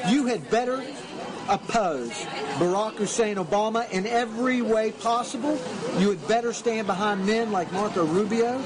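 A man hosting a talk show from a cafe, with a loud voice, fervently urging his listeners. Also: high-pitched, moderate pace. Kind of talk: opinionated political commentary. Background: cafe crowd chatter.

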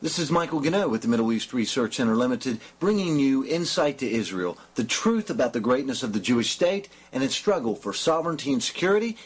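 A man's voice: medium pitch, sing-song, fairly quick, hardly any pauses, slight background noise. A man reading a scripted promo for a show as its announcer.

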